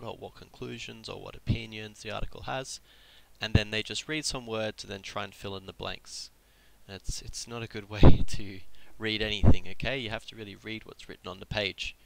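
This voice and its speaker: A man's voice: lowish voice, creaky, fairly bright tone, poor audio. A man with a cold giving a casual live-streamed Japanese lesson, explaining step by step with frequent ums and restarts. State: has a cold. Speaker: Japanese tutor.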